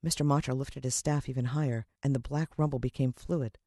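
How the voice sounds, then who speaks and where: Female American narrator for children's fiction: expressive, suspenseful storyteller, dry sound. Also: extremely low pitch, fairly quick, no echo. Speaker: audiobook narrator.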